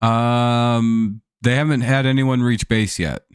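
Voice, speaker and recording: A man's voice: mid-range voice, fairly even intonation, slow, clean tone, dry recording, clean studio sound. A man hosting a live stream, calling baseball plays casually and joking with viewers, his voice a bit worn from talking all day. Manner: laid-back, jokey, chats with viewers between plays. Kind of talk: casual play-by-play and chat.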